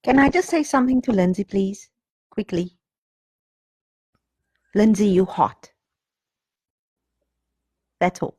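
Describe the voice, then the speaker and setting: A woman chatting on a livestream, playful and enthusiastic. Her voice is husky and dry, close-miked with a mid pitch. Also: expressive, slow, pauses often, darkish tone, no room sound. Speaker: stream participant. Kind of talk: casual chat.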